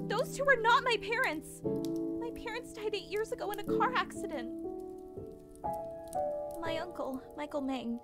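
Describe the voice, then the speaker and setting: A woman playing a timid, distressed, well-bred young heiress in a comic dub, earnest and polite. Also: sits high. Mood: frantic, indignant. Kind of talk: comic dub character acting. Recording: voice over prominent music.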